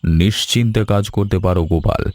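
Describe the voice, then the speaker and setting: A calm village boss acted by a man from a cartoon tale, kindly and trusting. The voice is lowish and mellow, with a reassuring, patronly way of talking. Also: a little fast, no echo, close to an everyday voice. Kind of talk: Bengali animated story dialogue.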